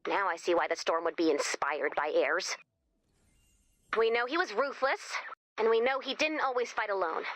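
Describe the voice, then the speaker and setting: A woman's voice: mid-range voice, animated, normal speed, fairly bright tone, no echo, lightly acted. A woman voicing a quirky, wisecracking young American guide character in a video game, with a chatty, theatrical manner. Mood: concerned, earnest. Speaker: mission guide character addressing the player commander.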